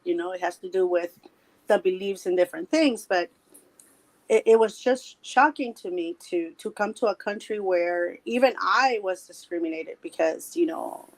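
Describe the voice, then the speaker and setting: A woman's voice: medium pitch, rough recording. Earnest Salvadoran woman calling in. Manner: earnest, repeats words, halting. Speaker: guest caller. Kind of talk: personal storytelling.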